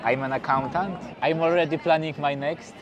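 A man from Poland in his thirties talks cheerfully outdoors in a city square, with a slightly high voice for a man. Clear street noise sits behind him, and he chuckles often. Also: steady tone, moderate pace. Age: 30s. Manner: cheerful, chuckles between phrases. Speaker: interviewed pilgrim. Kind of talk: casual street interview. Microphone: lapel mic.

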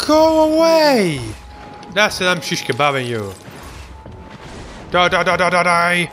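A man's voice: high voice, very animated, unhurried, a bit bright, a little hiss or hum. A young man giving casual, sarcastic let's play commentary, joking and ranting about the game. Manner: goofy, sarcastic, hums and mock voices.

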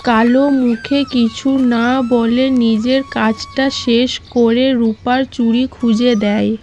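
A woman's voice: on the high side, flat delivery, a little slow, darkish tone, slight background noise. A woman narrator reading a scripted children's moral story. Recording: dull lo-fi audio.

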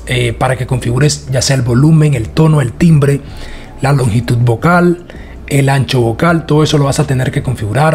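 A man narrating a casual software tutorial in a chatty, repetitive way. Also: a little high, slight background noise. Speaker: tutorial host.

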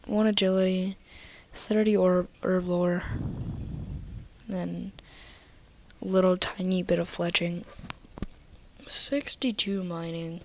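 Soft-spoken young woman with a cold. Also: lowish voice, little pitch movement, takes it slowly, a little hiss or hum. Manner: soft, half-whispered listing. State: slightly nasal from a cold. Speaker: gaming video maker. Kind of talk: casual game walkthrough.

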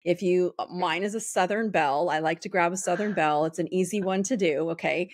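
A woman's voice: lowish voice, dry recording, clean studio sound. A woman hosting a podcast interview, bubbly and playful in casual chat. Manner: bubbly, playful, quick bursts of enthusiasm. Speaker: podcast host.

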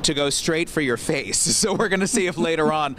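A man's voice: fairly high pitch, brisk, a bit bright. A man reporting live for morning television, chatting and joking into a handheld microphone outdoors. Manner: chatty, jokey banter. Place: outdoor rooftop garden in a city. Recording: outdoor city noise behind voice.

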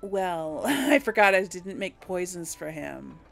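Slow-paced woman musing over a game. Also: lowish voice, big pitch swings, vocal fry, fairly bright tone, soft background music. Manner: musing aloud, hesitant deliberation. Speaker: let's play host. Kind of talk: casual gameplay commentary.

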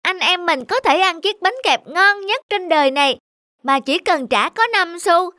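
A woman voicing a kind, protective older boy in a cartoon, speaking gently and earnestly. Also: extremely high pitch, lively intonation, unhurried, no echo, clean studio sound, full character voice. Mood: happy. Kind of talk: cartoon voice acting.

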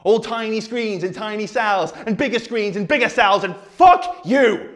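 An actor playing a desperate, furious man raging at his audience in a halting, repetitive dramatic monologue. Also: fairly high pitch, expressive, natural voice. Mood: agitated, scornful. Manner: halting, repeating, swearing, building to outbursts.

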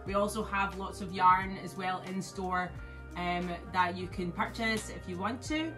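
A woman's voice: fairly low pitch, a little slow, over music. A woman in her thirties with a Scottish accent, a crochet teacher explaining things step by step in a friendly way. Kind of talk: promotional class introduction. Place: indoor yarn studio with shelves.

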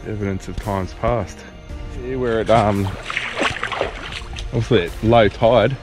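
Aussie guy chatting low on a beach mudflat, handheld cam, music underneath. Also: slow. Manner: chatty, teasing. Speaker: host. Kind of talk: casual outdoor vlog chat. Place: outdoors on a tidal mudflat by the sea.

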